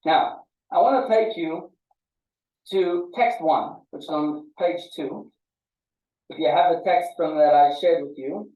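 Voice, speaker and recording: A man's voice: fairly high pitch, warm tone, far from the mic. Guy teaching a little study class, stop-start and repeating words, roomy echo, sounds off mic. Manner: halting, repeats words as he explains. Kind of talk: informal lecture to a small study group. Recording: muffled phone-like audio, echoey, off-mic.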